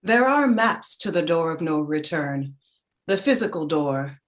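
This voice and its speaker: A reflective narrator in a literary stage drama played by a woman, remembering childhood. Her voice is a little low. She reads in a measured, deliberate way. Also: expressive. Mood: solemn, meditative. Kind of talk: staged reading of a literary play.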